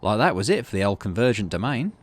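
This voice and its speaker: A man's voice: fairly low pitch, very animated, fast. A man voicing a friendly, matter-of-fact woman geologist in a video game, explaining things in a folksy way.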